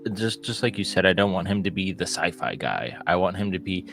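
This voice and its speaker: A young man co-hosting a movie podcast, talking in a relaxed, hesitant way with restarts. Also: low-pitched, a little fast, faint music behind. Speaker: podcast co-host. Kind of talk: casual movie news chat.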